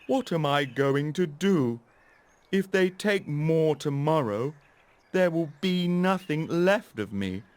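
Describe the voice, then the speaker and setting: A gentle storybook scarecrow, soft-spoken and warm-hearted, close to the storyteller's own voice, as the narrator puts him on. He speaks slowly, with lively rises and falls. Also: medium pitch, no echo, voice pushed up. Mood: worried. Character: gentle kind male scarecrow.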